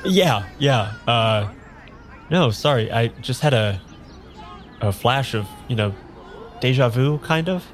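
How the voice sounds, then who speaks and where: A man's voice: medium pitch, expressive. A man playing a bewildered, earnest amnesiac in an improvised audio drama, speaking in an unsure, conversational way. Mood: earnest wonder. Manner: halting, unsure, rambling. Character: bewildered, earnest man without his memory. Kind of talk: improvised audio drama dialogue and first-person narration.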